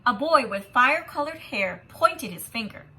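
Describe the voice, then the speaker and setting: A woman teacher reading a children's story expressively and pausing to explain it in an instructive, emphatic way. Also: high-pitched, lively intonation, pushed and bright. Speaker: kindergarten teacher.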